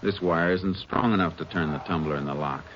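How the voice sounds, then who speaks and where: A calm, shrewd Texas Ranger acted by a man in a 1950s radio western. The voice sits very low, with terse, deliberate delivery. The old recording is band-limited and clearly noisy. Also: muffled recording, lightly acted. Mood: analytical. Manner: terse, deliberate, matter-of-fact. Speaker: lead actor and narrator. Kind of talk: 1950s radio drama acting.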